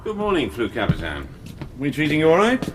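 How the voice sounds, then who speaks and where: An actor playing an urbane, wry British army major with an English accent in a radio drama. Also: male voice, fairly high pitch, wildly swooping pitch, fairly quick, very noisy. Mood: smooth probing. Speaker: army officer.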